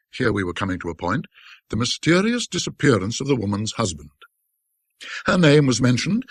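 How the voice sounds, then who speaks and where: A man narrating classic ghost stories in a theatrical, old-fashioned literary style, with a wry, scholarly, self-deprecating manner and dramatic pauses. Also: mid-range voice, husky, no room sound, studio quality.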